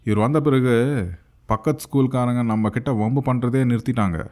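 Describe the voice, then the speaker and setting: A man playing a chubby, sensible schoolboy in a cartoon, cautious and quick to retort. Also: mid-range voice, fairly even intonation, a little mellow, close to an everyday voice. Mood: reasoning.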